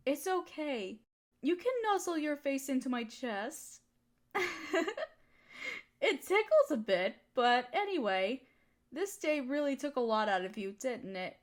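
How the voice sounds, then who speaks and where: Casting: actress as affectionate, softly dominant female partner for intimate audio roleplay; high, very animated, teasing and comforting. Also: measured pace. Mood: flirty, teasing. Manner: flirty, soothing, playfully teasing.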